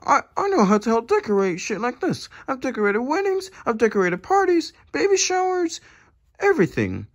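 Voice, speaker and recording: Guy doing a stubborn show-off goofball, high and lively. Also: normal speed, no echo, highs cut off, exaggerated voice. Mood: boastful. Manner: stubborn, boastful. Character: pushy goofy man who won't leave. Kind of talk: improvised toy roleplay.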